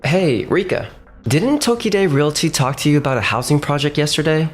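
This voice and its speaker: A man's voice: on the high side, expressive, close to an everyday voice. A man voicing a level-headed, cautious young company boss who also narrates a manga dub. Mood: focused. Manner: measured, composed. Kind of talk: manga dub dialogue and first-person narration. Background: faint background music.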